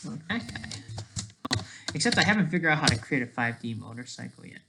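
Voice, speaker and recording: A person thinking aloud in a casual, digressive commentary over study notes, halting often and talking in an informal, self-deprecating way. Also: mid-range voice, very animated, gravelly, very noisy. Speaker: solo online commentator.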